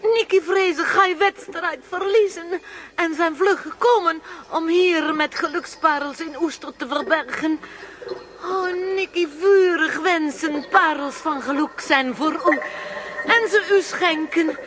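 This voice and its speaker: A woman voicing a gentle, self-sacrificing little female creature with plaintive, broken speech in a children's radio play. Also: very high voice, a little slow. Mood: earnest, pleading.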